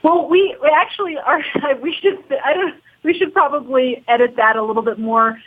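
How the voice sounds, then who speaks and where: A woman's voice: high voice, moderate pace. A woman talking casually as an interview guest, explaining things in an informal, hesitant way over a narrow phone-like recording. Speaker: documentary producer, interview guest. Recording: thin, phone-like narrow band.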